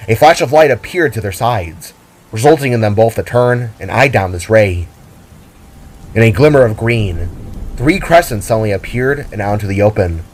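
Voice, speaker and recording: A man's voice: lowish voice, a little mellow, low-quality recording. A man reading scripted, dramatic story narration. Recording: music and rain under the voice. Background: music, rain and thunder.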